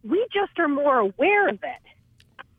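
A woman's voice: high voice, big pitch swings, slow pace, dry recording. A woman in her forties on a podcast panel, matter-of-fact and arguing from facts. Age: 40s. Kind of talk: casual panel chat. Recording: tinny phone-like dry sound.

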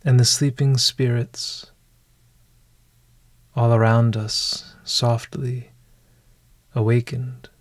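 A man narrating a scripted bedtime meditation as a podcast host, in a hushed, deliberate manner with long pauses. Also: mid-range voice, flat delivery, no echo.

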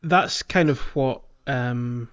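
A man's voice: medium pitch, slow, fairly bright tone, clean tone, muffled recording. A man chatting casually and enthusiastically as a podcast host. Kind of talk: casual chat.

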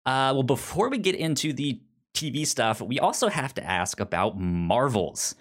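A man's voice: medium pitch, big pitch swings, moderate pace, fairly bright tone. A man hosting a casual podcast, chatting and reading announcements, sometimes tripping over and repeating words. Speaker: podcast host. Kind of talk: casual podcast interview and sponsor read.

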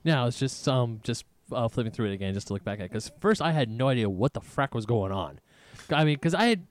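A man's voice: lowish voice, darkish tone, clear, resonant tone, dry recording. Candid young man, dry sound. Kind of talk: casual comics discussion.

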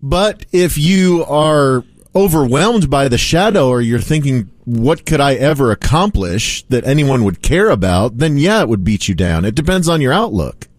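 A man co-hosting a comedic talk show, dropping deadpan one-liners and slower, measured asides. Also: medium pitch, dry recording, studio quality. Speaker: co-host. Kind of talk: casual comedic banter.

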